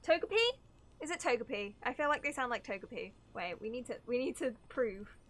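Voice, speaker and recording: A woman with a high, very animated voice streams a game. She chats casually and excitably, giggles a lot and gets sidetracked easily. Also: a little fast. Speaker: livestreamer reacting to a video game. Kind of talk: casual excited chat while gaming.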